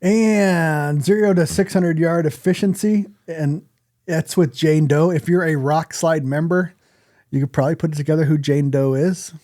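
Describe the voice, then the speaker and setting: A man's voice: on the high side, moderate pace, mellow, no echo, clean studio sound. A man co-hosting a podcast in casual conversation, talking bluntly and plainly with some casual swearing. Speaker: podcast co-host.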